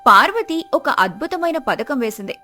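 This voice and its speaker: A woman's voice: high-pitched, sing-song, moderate pace, sharp tone, soft background music. A woman reading a scripted moral story as its narrator.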